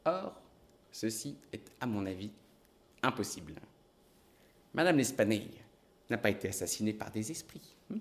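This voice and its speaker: An actor playing a calm, reasoning male detective who speaks in a measured, deliberate way. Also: lowish voice, lightly acted. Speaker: investigator.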